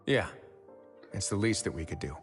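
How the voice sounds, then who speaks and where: An actor playing a composed, dryly menacing prison warden duke in a fantasy game, calm and wry. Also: male voice, fairly low pitch, normal speed, lightly acted. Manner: composed, wry, quietly threatening. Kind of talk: game character voice acting. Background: game music.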